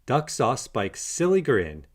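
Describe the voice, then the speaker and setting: A man reading a children's storybook aloud with animated, expressive delivery. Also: medium pitch, slow pace. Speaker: storybook narrator. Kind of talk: children's read-aloud narration.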